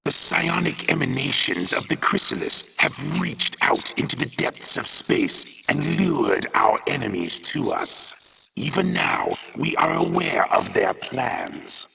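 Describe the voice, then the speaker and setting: A man voicing a sinister alien hive creature, a formal and ominous advisor in a video game, with a harsh, raspy, gravelly voice. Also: a little high, very animated, slow pace, pushed and bright, heavily acted, rough recording. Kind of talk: video game character briefing.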